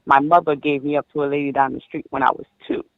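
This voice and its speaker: A woman of about forty, born in Haiti, calling in to a show and recounting her life in a halting way, full of ums and restarts. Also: low-pitched, little pitch movement, no echo. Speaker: caller. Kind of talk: personal story on a call-in show. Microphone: phone. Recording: dull dry phone line.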